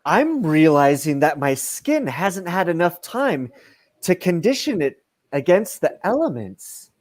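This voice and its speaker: Male actor, middle-aged, as self-absorbed comedy adventurer, earnest and whiny, slow delivery with big pitch swings. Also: very animated. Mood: whiny, self-pitying. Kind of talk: comedic radio play acting over video call. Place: room at home, on a video call.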